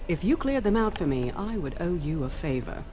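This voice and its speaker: An actress playing an officious, easily flustered senior mage in a fantasy video game, formal yet hesitant and expressive. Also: female voice, on the low side, normal speed, a little hiss or hum. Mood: relieved, grateful. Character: flustered, officious female senior mage guarding her secret.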